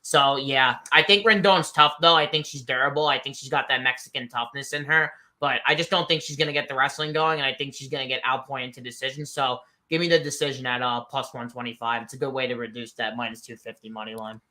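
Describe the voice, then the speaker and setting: A man's voice: mid-range voice, fairly even intonation, fast, hardly any pauses, bright, forceful tone. A man giving casual fight picks, blunt and rambling, leaning on "I feel like". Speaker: betting analyst guest.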